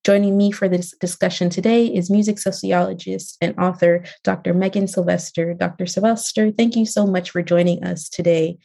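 A woman podcast host reads a prepared introduction in a very mellow voice. It sits a little low and has a noticeable creak. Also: fairly even intonation, normal speed, hardly any pauses.

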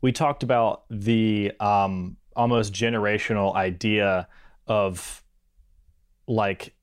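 Man, low voice, interview host, agreeable, filler-heavy, dry close recording. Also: steady tone, slow pace, clean tone, no echo. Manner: agreeable, talks through ideas with "like"s. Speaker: podcast host. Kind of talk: casual interview conversation.

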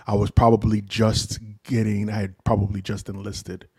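A man's voice: lowish voice, flat delivery, normal speed, warm tone, breathy edge, no echo. A man in his forties hosting a casual podcast from home, bantering with playful, profane mock outrage. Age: 40s. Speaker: podcast host.

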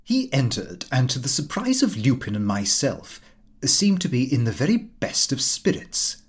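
A man narrating a literary diary as an audiobook, formal, precise and expressive. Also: medium pitch, moderate pace, breathy edge.

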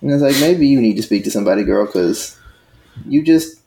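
A man co-hosting a casual chat, talking emphatically and rising into sharp exclamations. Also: lowish voice, lively intonation, brisk, vocal fry, low-quality recording. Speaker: podcast co-host.

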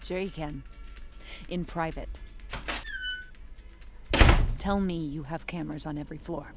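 An actress playing a tough, wry police detective in an audio drama, blunt, dry and commanding. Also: female voice, deep voice, normal speed, over loud music. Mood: terse, commanding. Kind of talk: scripted audio drama acting.

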